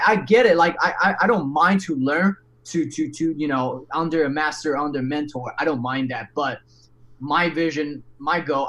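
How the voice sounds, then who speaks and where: A man, an English speaker, telling his story as a podcast guest in an animated, candid way with frequent restarts and casual swearing. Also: a little high. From Taiwan.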